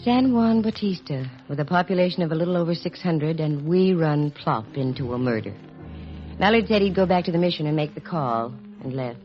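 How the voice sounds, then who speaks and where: An actress playing a sharp, composed female detective who narrates in a brisk, matter-of-fact way, in 1940s radio acting style. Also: low voice, lively intonation. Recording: dull lo-fi vintage radio recording, faint music.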